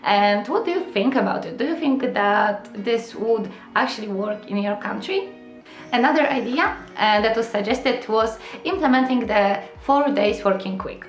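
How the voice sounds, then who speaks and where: A young woman with a fairly high voice explains things earnestly to her viewers, with "uh" and "um" fillers and direct questions to the audience. Her voice rings in a roomy, echoey space, with faint music underneath. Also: lively intonation, moderate pace. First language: Polish. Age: young adult. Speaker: vlogger. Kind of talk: casual explainer vlog to camera.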